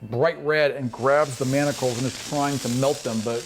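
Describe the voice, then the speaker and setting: A man's voice: mid-range voice, steady tone, normal speed, music in the background, rough recording. A man running a tabletop fantasy game as game master, narrating descriptively and voicing sound effects.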